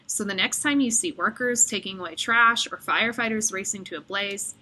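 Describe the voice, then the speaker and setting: A woman reading a children's picture book aloud as an expressive storyteller. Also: mid-range voice, fairly quick, poor audio.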